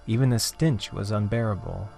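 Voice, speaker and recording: A man narrating a scripted horror story in a theatrical, deliberate, dramatic way, with drawn-out phrases. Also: lowish voice, vocal fry, warm tone. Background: background music.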